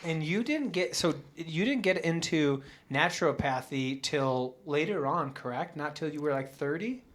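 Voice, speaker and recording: Host: man, slightly high voice, halting questions full of pauses. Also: animated, a bit bright. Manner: halting, many fillers and restarts. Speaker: podcast host. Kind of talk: interview hosting.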